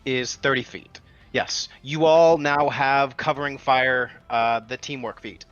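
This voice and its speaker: A man's voice: medium pitch, steady tone, a little slow, fairly bright tone, clean tone, dry recording. A man playing a tabletop roleplaying game, casually explaining his moves and the rules. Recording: dull lo-fi mic, background music.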